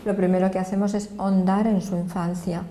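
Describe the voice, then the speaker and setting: A woman's voice: medium pitch, level tone, a little fast, mellow, slight background noise. An older woman from Madrid with a Spanish accent, explaining things earnestly in a sit-down interview indoors. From Madrid, Spain. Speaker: association president. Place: indoor office room. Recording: echoey room.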